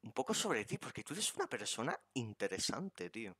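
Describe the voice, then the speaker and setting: A man's voice: medium pitch, sing-song, a little fast, fairly bright tone, slightly rough, no echo, studio quality. A young man from Spain with a Spanish accent, hosting a casual podcast chat on a headset and joking around with his guest. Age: young adult. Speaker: podcast host. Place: bedroom streaming setup.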